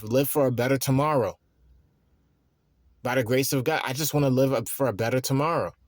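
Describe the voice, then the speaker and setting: A man in his thirties, tired and stressed, talking in a rambling, halting way with frequent restarts in a reflective personal monologue. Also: medium pitch, dry recording, clean studio sound. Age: 30s. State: tired and worn out. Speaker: vlogger.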